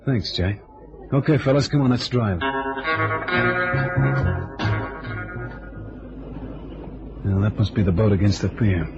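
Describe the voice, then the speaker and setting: An actor playing a wry, tough but affable amateur sleuth in an old-time radio drama, with streetwise, hesitant turns of phrase. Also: male voice, fairly low pitch, fairly quick, music in the background, close to an everyday voice. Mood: urgent, decisive. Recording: dull, lo-fi vintage radio recording.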